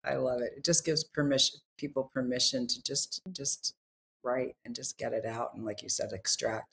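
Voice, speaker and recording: A woman hosting a podcast interview, effusive and quick to react. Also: extremely low pitch, steady tone, gravelly, fairly bright tone, low-quality recording. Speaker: podcast host. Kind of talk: casual interview talk.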